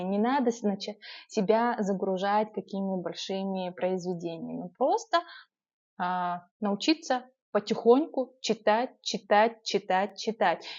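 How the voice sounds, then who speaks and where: A young woman from Yakutia with an urban Yakut accent, giving language-learning tips in a casual, lively vlog with frequent filler sounds. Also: medium pitch, dull audio. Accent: urban Yakut Russian. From Yakutia, Russia.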